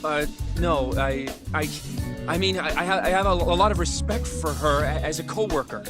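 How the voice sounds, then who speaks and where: An actor playing an earnest, polite and somewhat awkward young colleague in an English-dubbed video game cutscene. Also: male voice, a little high, moderate pace, natural voice. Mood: flustered, indignant. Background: background music.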